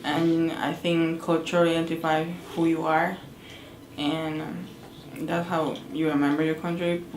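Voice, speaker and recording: A sixteen-year-old girl from El Salvador answering interview questions haltingly, repeating words in simple, English. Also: sits low, little pitch movement, darkish tone, echoey room, slight background noise, low-quality recording. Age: teens.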